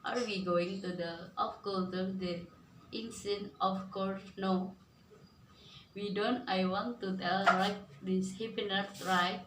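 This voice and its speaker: A young woman with an Indonesian accent hurries through a memorized formal speech and often restarts her words. Her pitch sits in the middle. She is heard from across a reverberant room with faint background noise. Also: steady tone. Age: young adult. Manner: quick, with many restarts. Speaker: student speaker. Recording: roomy echo, from far off, faint noise.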